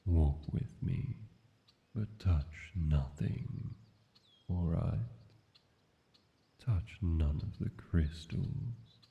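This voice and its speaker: A man playing an ancient, brooding dragon king in a fantasy audio roleplay, speaking tenderly and deliberately to a lover, with dramatic pauses. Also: full character voice. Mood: gentle, cautioning. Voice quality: gravelly low voice. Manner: slow, deliberate, hushed storytelling with dramatic pauses. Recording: echoey cavern-like reverb, dull, noisy.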